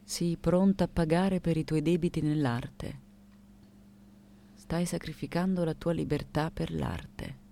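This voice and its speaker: An actress playing a lucid, ironic elderly woman artist in a radio theatre monologue, speaking in a deliberate, reflective way. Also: low-pitched, vocal fry. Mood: solemn, reflective.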